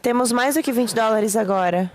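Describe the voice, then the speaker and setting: A woman interpreting a devotional talk live, with a steady, plain delivery. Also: a little high, normal speed.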